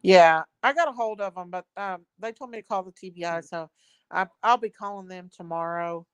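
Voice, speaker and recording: A woman's voice: lowish voice, brisk, smooth, dry recording. Woman hosting a livestream. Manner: reads viewer comments aloud, greets viewers by name. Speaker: livestream host. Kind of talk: casual livestream chat reading comments.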